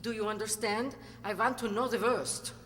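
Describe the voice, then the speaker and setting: A woman's voice: fairly high pitch, fairly even intonation, moderate pace, fairly bright tone, lightly acted. A woman in a radio play acting a cold, bitter, secretive former actress with a theatrical, haughty delivery. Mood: guarded, polite. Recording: echoey hall, noisy hum.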